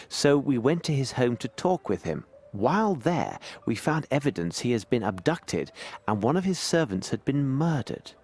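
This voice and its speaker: An actor playing a shrewd English gentleman detective in a video game, with a British accent and precise, courteous, commanding speech. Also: medium pitch, close to an everyday voice. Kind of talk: video game cutscene acting.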